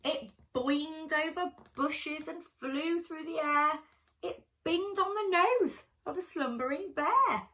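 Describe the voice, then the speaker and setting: A woman reading a children's picture book aloud expressively, with dramatic pauses and chatty asides to young listeners. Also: sits high, pushed and bright. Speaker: primary school storyteller.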